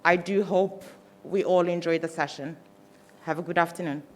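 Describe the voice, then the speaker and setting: A woman conference director welcomes the audience and calls the moderator to the stage. She speaks in a polished, measured way into a stage mic, over a faint hum. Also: fairly low pitch, fairly even intonation. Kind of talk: formal stage announcement.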